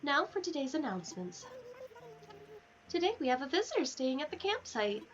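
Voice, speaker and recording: A woman's voice: high-pitched, big pitch swings, normal speed, voice pushed up. A woman voicing a cheerful, perky female town announcer from a cozy video game, reading announcements in a bubbly, formal manner. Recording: dull, lo-fi headset audio.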